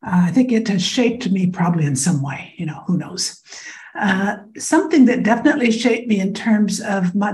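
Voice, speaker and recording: Thoughtful American woman with a mellow voice. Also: mid-range voice. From United States (Iowa). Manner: thoughtful, frank, with frequent fillers. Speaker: interview guest. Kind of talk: conversational interview.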